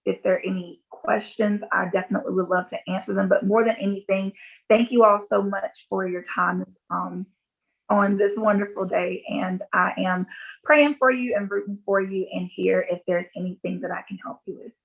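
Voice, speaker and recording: A woman's voice: medium pitch, steady tone, moderate pace, creaky. A woman giving an earnest, encouraging motivational talk to close a founders' session. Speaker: speaker. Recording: tinny phone-like call audio.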